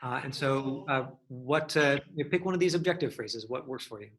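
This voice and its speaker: A man's voice: mid-range voice, lively intonation, moderate pace, a bit bright, slight background noise. A man coaching an acting class, giving instructions in an encouraging way. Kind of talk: hands-on acting lesson.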